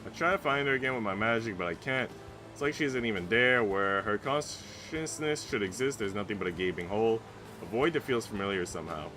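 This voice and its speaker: A man reading a visual novel aloud as a streamer, in an expressive, dramatic narration that drops hushed and rises with emphasis. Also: on the low side, fairly quick. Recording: faint game music behind. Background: game music.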